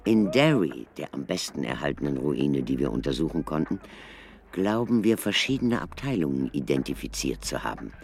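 An actor playing an earnest, cautious male researcher in a German radio play, explaining things in a measured, emphatic way. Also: rumbling low, sing-song, darkish tone, slight background noise, exaggerated voice. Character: deep-voiced scholarly male ruin researcher, earnest and cautious.

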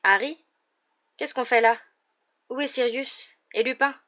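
Une femme qui interprète dans un livre audio un jeune garçon hébété qui se réveille et grogne ses questions. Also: female voice, a little high, unhurried, dull audio, pitched above the performer's own voice, close to an everyday voice. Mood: bewildered. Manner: groaning, confused questions. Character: groggy, bewildered schoolboy just waking up. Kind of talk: acted audiobook dialogue.

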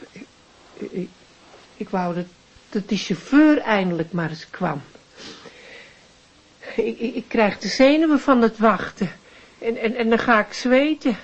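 A woman's voice: fairly high pitch, animated, a little slow, pauses often, muffled recording. An actress playing a nervous, chatty, prying middle-aged widow in a radio drama, hesitant and repeating her words.

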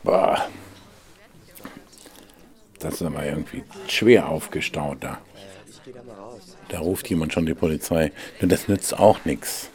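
An actor playing a reflective, detached bystander in naturalistic radio drama, talking in a halting, resigned, conversational way. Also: male voice, very deep voice, darkish tone. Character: reflective, detached male bystander watching others fight.

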